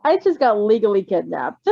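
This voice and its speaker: A woman's voice: mid-range voice, big pitch swings, moderate pace, gravelly, no room sound, highs cut off. A woman reading fan fiction aloud and chatting about it casually as a co-host. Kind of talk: story reading, then casual excited chat.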